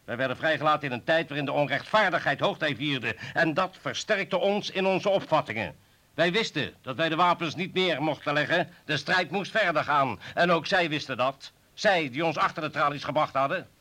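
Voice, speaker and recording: An actor playing an earnest, principled comrade and former political prisoner in a radio play, speaking persuasively. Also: male voice, fairly high pitch, moderate pace, sharp tone. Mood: earnest, urgent. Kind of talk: Dutch radio play acting.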